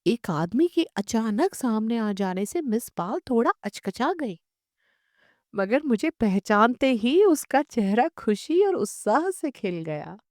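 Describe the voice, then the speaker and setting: Slow, expressive woman narrating a story. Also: fairly high pitch, no echo, clean studio sound. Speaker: audiobook narrator. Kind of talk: scripted literary short story narration.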